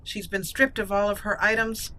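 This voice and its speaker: A woman hosting a let's play, narrating the game as a dramatic, deliberate storyteller. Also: mid-range voice, level tone, bright, forceful tone.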